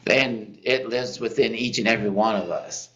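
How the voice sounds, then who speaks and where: A man giving a calm, affirming closing prayer for a congregation. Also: on the low side, normal speed. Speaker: prayer leader. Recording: lo-fi muffled recording.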